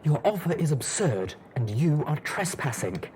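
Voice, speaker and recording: A man voicing a defiant armored female pony knight in a clipped, forceful manner for a story reading. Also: on the high side, sing-song, moderate pace, slight background noise, voice pushed up. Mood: defiant, scornful.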